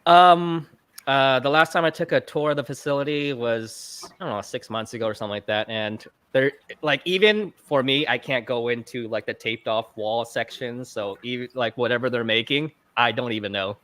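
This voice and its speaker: A man chatting as a livestream guest, rambling through his answers with plenty of "um" and "like" and the odd joke at his own expense. His voice sits at an ordinary male pitch and comes over a call mic with a dry, close sound. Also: normal speed, a bit bright, no echo. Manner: rambling, filler-heavy, self-deprecating jokes. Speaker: guest, fish channel videographer. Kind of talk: casual livestream interview. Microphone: video call mic.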